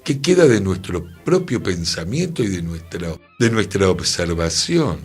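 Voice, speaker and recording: A man with a Buenos Aires accent talking reflectively on a radio culture show, deliberate and opinionated. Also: low voice, big pitch swings, breathy edge, faint music behind. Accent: Buenos Aires Argentine.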